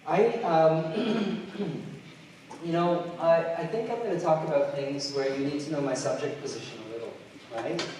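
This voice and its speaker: A middle-aged man from Trinidad and Tobago giving a conference keynote, halting often with fillers and restarts. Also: a little high, darkish tone, slight background noise. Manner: hesitant, many fillers and restarts. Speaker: keynote speaker. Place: large lecture hall. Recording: distant mic in an echoey hall.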